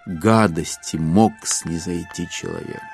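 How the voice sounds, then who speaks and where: Low-voiced male literary narrator over music. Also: slow. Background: music under the narration.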